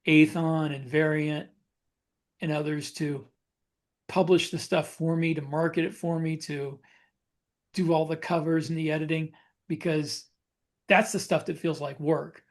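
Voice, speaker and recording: A man's voice: fairly high pitch, fairly even intonation, moderate pace, pauses often. A man co-hosting a podcast in casual chat, thinking aloud in a reflective, conversational way. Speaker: podcast co-host and writer.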